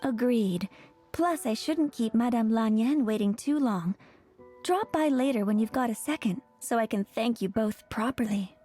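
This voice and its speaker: Actress doing courteous young game girl, soft mellow tone, bit high, faint music behind. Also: normal speed, lightly acted. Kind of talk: English game voice acting.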